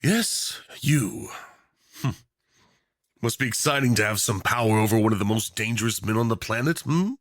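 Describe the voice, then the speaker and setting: A man's voice: lowish voice, normal speed, dry recording, studio quality, close to an everyday voice. A man playing a charming, obsessive tyrant in a romantic villain roleplay, drawling theatrically with mock politeness, flirtation and playful menace. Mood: seductive, obsessive.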